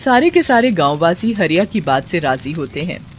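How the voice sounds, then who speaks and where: A woman's voice: on the low side, very animated, moderate pace, a little hiss or hum. A woman reading scripted narration for a folk horror story.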